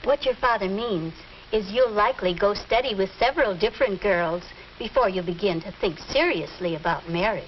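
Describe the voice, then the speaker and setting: Woman acting sensible American mom, measured, gentle, 1950s educational film, dull soundtrack with faint noise. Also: mid-range voice, lightly acted. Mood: gently advising. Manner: measured, explanatory. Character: gentle, sensible mother advising her teenage son.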